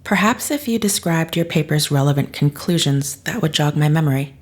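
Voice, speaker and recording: An actress playing a guarded psychic astronaut in an audio drama, speaking in a formal, deliberate and restrained manner. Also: female voice, sits low, lively intonation. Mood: cool, probing.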